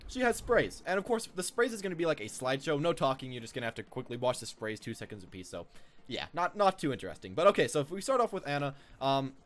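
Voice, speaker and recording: A man's voice: medium pitch, sing-song, fast talker, fairly bright tone, faint music behind. A man giving casual, unscripted, rambling commentary as the host of a gaming video. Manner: rambling, informal.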